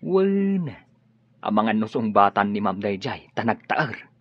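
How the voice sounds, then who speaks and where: A man's voice: lowish voice, big pitch swings, unhurried, no echo. A man playing a deferential, gossipy employee talking with his lady boss in a radio drama. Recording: dull lo-fi radio sound.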